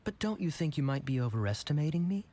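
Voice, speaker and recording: An actor playing a suave, sly, teasing young knight in a video game, wry and theatrical. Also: male voice, a little high, sing-song, fast, background noise. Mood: amused. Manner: smooth-talking, wry, theatrical.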